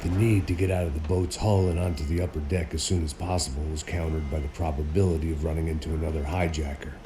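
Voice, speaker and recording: Casting: jaded American game hero, middle-aged, extremely low voice, deadpan noir narration, some background ambience. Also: male voice, quick pace, background noise. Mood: weary, brooding. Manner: world-weary, deadpan, sardonic.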